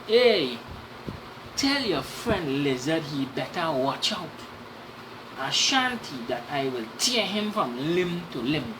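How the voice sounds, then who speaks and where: A woman's voice: low-pitched, very animated, takes it slowly, background noise, full character voice. A woman voicing a proud, indignant male folktale trickster who blusters and scolds in a children's storybook. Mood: furious. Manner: snorting, sputtering, scolding outbursts.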